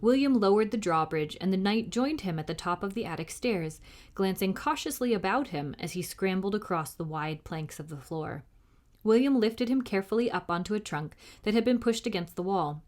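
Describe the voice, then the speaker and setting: A woman reads a children's novel aloud as storytime narration. Her mid-pitched voice is expressive, rising and falling in lively swings. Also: moderate pace. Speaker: storytime reader.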